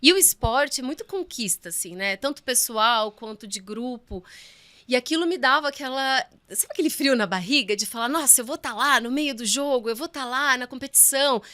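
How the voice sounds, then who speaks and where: A woman's voice: high-pitched, lively intonation, normal speed, pushed and bright. A woman in her thirties, a sports physiotherapist chatting as an interview guest and acting out her own past remarks as she tells stories. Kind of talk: casual interview chat.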